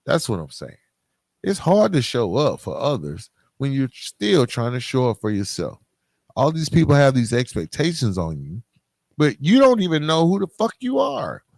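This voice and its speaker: Animated American man, streetwise podcast host. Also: medium pitch, moderate pace, vocal fry, no echo. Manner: informal, streetwise, emphatic repetition, rhetorical questions. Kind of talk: conversational solo podcast monologue addressed to listeners.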